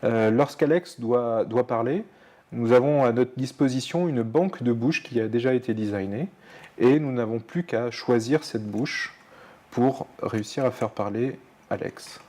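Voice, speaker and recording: A man's voice: medium pitch, a little slow, darkish tone. A man working as an animator, explaining his work plainly with some fillers. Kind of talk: explanatory interview.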